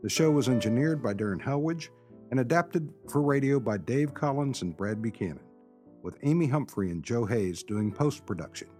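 A man's voice: mid-range voice, expressive, normal speed, a little mellow. A man reading a scripted radio announcement in the role of show announcer. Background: music.

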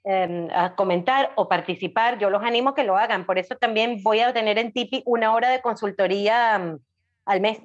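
A woman's voice: mid-range voice, fairly quick, lo-fi sound. A woman chatting casually as a podcast guest, emphatic and repeating words for stress. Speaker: podcast guest, audiobook creator and writing teacher. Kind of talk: casual chat.

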